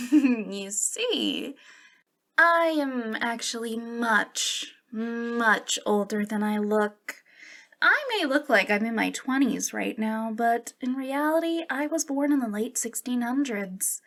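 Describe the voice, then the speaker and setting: A woman's voice: fairly high pitch, takes it slowly, a bit bright. A woman playing a centuries-old, youthful-looking witch in an ASMR roleplay, confiding coyly and hesitantly with a giggly, sinister playfulness. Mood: gleeful, sly. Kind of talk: ASMR roleplay monologue addressed to the listener.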